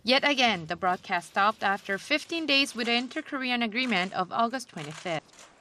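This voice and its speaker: A woman's voice: fairly high pitch, lively intonation, moderate pace, pushed and bright, a little hiss or hum. A woman reporter reading a scripted news feature narration.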